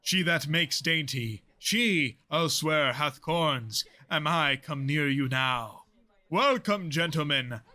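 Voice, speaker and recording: A hearty, nostalgic older nobleman, acted by a man in a Shakespearean audio play. He declaims jovially in a bright, forceful, fairly high voice, taking his time over the words. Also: lively intonation, slow pace, heavily acted. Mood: hearty, teasing.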